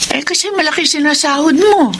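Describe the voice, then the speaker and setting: An actress with a Filipino accent playing a doting, gently prying middle-aged mother in a radio drama. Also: high voice, a little fast. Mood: doting, chatty. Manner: motherly, exclamatory. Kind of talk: Tagalog radio drama acting. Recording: clear background noise.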